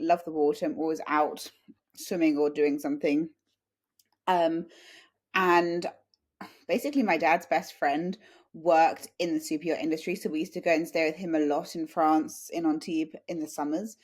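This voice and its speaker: A woman's voice: sits low, fairly even intonation, normal speed, a little mellow. An English woman in her late twenties, chatting and telling anecdotes as an interview guest. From UK, small town in Suffolk. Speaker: podcast guest. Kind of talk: conversational interview.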